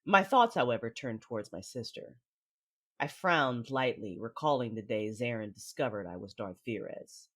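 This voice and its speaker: A cold, calculating Sith noblewoman voiced by an actress in a science fiction audio drama. Her voice is husky and rough-edged, with a measured, guarded delivery that expects obedience. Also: rumbling low, expressive. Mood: brooding. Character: cold, calculating Sith lady, estranged wife and sister. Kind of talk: audio drama acting with first-person narration.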